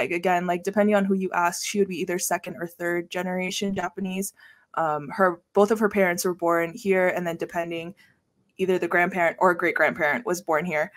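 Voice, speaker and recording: A woman's voice: medium pitch, a bit bright. A woman, chatting as a guest on a video call, full of fillers and laughs. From Hawaii. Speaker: guest, educator and podcast host. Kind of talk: casual video-call interview. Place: small room at home, indoors. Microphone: podcast mic with headset on video call.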